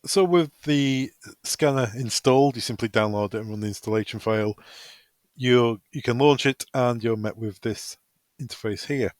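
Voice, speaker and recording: Man, mid-pitched voice, dry close recording, informal tutorial delivery, practical, step-by-step instruction. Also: normal speed, no echo. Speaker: product tutorial presenter. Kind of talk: step-by-step software walkthrough, semi-improvised.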